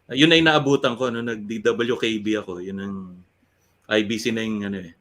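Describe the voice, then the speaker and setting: A man's voice: lowish voice, steady tone, fairly quick, fairly bright tone. An older man with a Filipino accent hosting a casual chat, moderating and adding context. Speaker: host.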